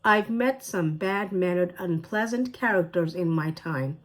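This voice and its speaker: A woman voicing a calm, unflappable grandmother with a dry manner in a storybook read-aloud. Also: on the low side, measured pace.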